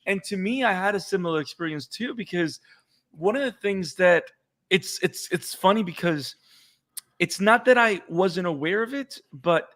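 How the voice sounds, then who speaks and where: Puerto rican guy, spanish first language, high voice, gets hyped and repeats himself chatting on a podcast. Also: moderate pace. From Puerto Rico. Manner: excited, repeats words when fired up. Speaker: podcast co-host. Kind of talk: casual chat.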